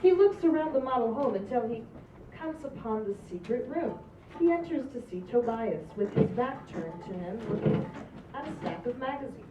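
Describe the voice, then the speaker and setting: A woman's voice: on the high side, expressive, moderate pace. A woman reading script stage directions aloud at a table read, in a plain, amused way. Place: room at a group table read. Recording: roomy, distant, dull sound with noise.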